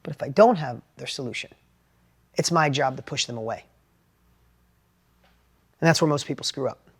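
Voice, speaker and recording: A middle-aged man with a New York accent and a high-pitched voice, giving an animated, plainspoken motivational talk about sales. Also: moderate pace, gravelly, slightly rough. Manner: animated, plainspoken, repeats and restarts words. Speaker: entrepreneur and sales educator. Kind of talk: motivational talk with personal story.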